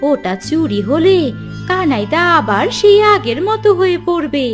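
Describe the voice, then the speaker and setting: A woman's voice: high voice, big pitch swings, measured pace. A woman playing an envious, grasping village woman who plots in a cartoon folk tale, indignant and cunning. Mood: scheming. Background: background music.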